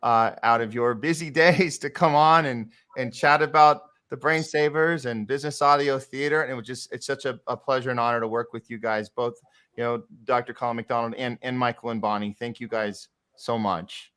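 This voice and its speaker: A man's voice: mid-range voice, fairly even intonation, normal speed, a bit bright, clear, resonant tone. A man hosting a podcast in casual interview chat, speaking in an effusive, appreciative way. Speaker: podcast host.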